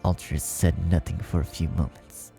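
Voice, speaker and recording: A man's voice: extremely low pitch, steady tone, moderate pace, gravelly, music in the background. A man reading a fan fiction story aloud as its narrator in a scripted style.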